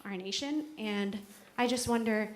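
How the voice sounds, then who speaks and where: A woman's voice: fairly high pitch, unhurried, slight background noise. A woman in the audience politely asking a question, tentative and careful. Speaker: audience member.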